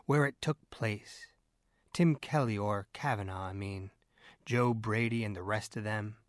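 A man voicing an excitable, scornful, bullying newspaper editor in an audiobook, with an emphatic, blustering delivery. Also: lowish voice, unhurried, no room sound, clean studio sound, close to an everyday voice. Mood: excited boasting.

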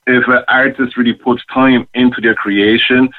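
A man's voice: mid-range voice, level tone, normal speed. Earnest man on a tinny phone line. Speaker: interview guest, rapper and youth arts worker. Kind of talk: thoughtful interview talk. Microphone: phone call.

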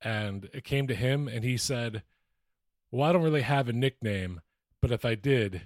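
A man's voice: medium pitch, moderate pace, no echo, clean studio sound. A man co-hosting a comedic sports podcast in casual chat, with a mocking, profane streak. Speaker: podcast host.

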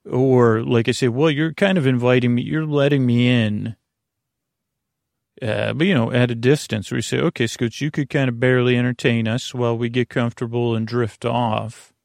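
Creaky, meandering male bedtime podcast host. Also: medium pitch, steady tone, darkish tone, no room sound, studio quality. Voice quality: creaky, gravelly. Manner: meandering, halting, repeats and circles back on himself; soothing, lulling. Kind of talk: rambling bedtime podcast monologue.

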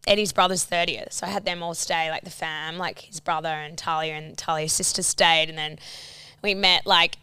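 A woman's voice: deep voice, steady tone, normal speed, sharp tone, clean tone, no room sound. A young Australian woman co-hosting a podcast, chatting casually with lots of "like" and giggles. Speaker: podcast co-host. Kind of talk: casual chat.

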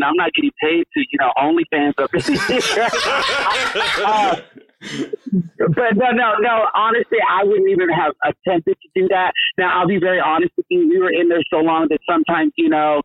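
A man's voice: sits high, moderate pace, a bit bright, low-quality recording. A man in his thirties, a podcast guest chatting casually in a flamboyant, campy way, calling everyone honey. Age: 30s. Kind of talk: casual interview chat.

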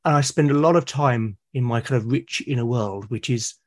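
A man's voice: medium pitch, moderate pace, darkish tone. A British man, a podcast guest, talking in a reflective, casual way and often restarting and repeating words mid-sentence. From Britain.

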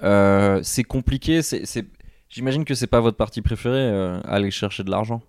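Man interviewing, kinda low voice, polite and a bit hesitant, dry sound. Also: moderate pace, darkish tone, smooth, no room sound. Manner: polite, curious, hesitant questioning. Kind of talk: casual interview chat.

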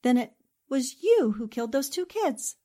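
High-pitched, animated plucky newspaperwoman played by an actress. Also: moderate pace. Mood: defiant, tense. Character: plucky, quick-witted newspaperwoman investigating a crime. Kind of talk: 1940s radio drama acting.

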